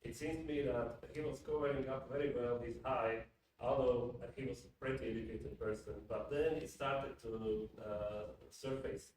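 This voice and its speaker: A man's voice: medium pitch, steady tone, moderate pace. A man in a book club discussion, hesitantly searching for his words. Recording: echoey room from far off, noisy.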